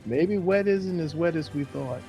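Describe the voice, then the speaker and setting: A man's voice: fairly high pitch, normal speed, vocal fry, dark tone, faint music behind. A man co-hosting a casual sports show, bantering playfully and repeating phrases for emphasis.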